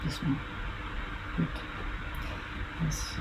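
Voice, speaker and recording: A woman with a Dutch accent, a native Limburgish speaker, chatting casually while she draws, thinking aloud in halting phrases and correcting herself. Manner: halting, musing aloud, with self-corrections and soft laughs. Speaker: artist. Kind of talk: casual talk while drawing. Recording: audible background noise.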